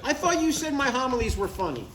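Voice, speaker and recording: A man's voice: very high voice, big pitch swings, fast, a bit bright, heavily acted. A man in his sixties playing an earnest, stubborn father who gets defensive, in a live comedy table read. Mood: defensive, hurt. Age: 60s. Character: earnest, stubborn sixty-two-year-old father chasing a writing career. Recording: echoey live room, distant mic, faint noise.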